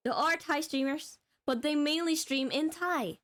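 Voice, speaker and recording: Casting: young female game streamer from Denmark, highish voice, excitable, giggly, sweary, casual chat. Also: measured pace. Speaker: live streamer. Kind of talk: casual gaming livestream chat.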